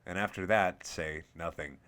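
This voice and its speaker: Man, low-pitched voice, horror story narrator, series host, first-person scripted reading. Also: fairly even intonation, normal speed, a bit bright.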